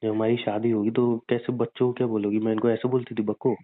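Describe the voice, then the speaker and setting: A man's voice: mid-range voice, flat delivery, quick pace. A young man bickering and teasing casually with his girlfriend over a phone call. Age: young adult. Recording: narrow tinny phone-line sound.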